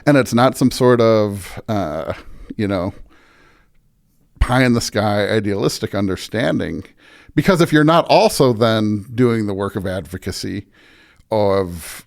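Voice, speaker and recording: A man's voice: lowish voice, clean tone. An American man speaking as a pastor in an interview, reflective and earnest, with emphatic, preacher-like repetition. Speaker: pastor, podcast guest.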